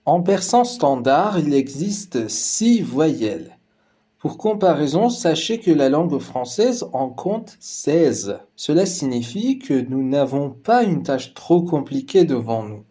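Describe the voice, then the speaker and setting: A man whose first language is Persian, calmly narrating a scripted lesson as the teacher. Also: a little high, slow.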